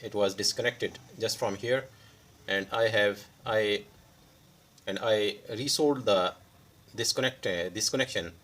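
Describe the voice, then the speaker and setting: Casting: male repair tutor, non-native English speaker, lowish voice, very slow, halting phrasing, unscripted demo style. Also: fairly bright tone. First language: not English. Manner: halting, pausing mid-sentence in short phrases. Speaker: electronics repair tutorial host. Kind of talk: unscripted hands-on repair demonstration.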